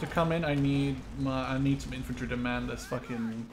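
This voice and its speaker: A man's voice: medium pitch, moderate pace. A man chatting casually and swearing as he plays a video game with teammates. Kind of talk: casual gaming chat with teammates. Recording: noisy game audio behind voice. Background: video game battle sounds.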